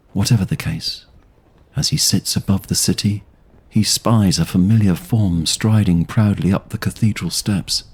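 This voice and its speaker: A man narrating a scripted fantasy audio drama in a measured, literary storytelling manner. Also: lowish voice, a little mellow, husky.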